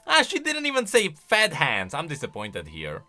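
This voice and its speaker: A man with a fairly high, bright voice gives casual reaction commentary. His pitch jumps around in an animated, exclamatory way as he thinks aloud. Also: normal speed, soft background music. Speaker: video creator and commentator.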